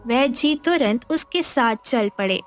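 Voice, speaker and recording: High-pitched woman storyteller. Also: animated, a little slow, faint music behind. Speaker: narrator. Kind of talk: scripted story narration.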